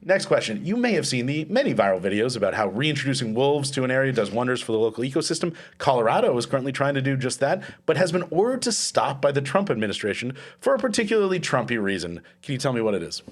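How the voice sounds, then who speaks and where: Fast-talking Canadian man hosting a quiz. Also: mid-range voice, very animated. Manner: brisk, laughs often, swears casually. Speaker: podcast host running a quiz. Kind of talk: comedy podcast quiz.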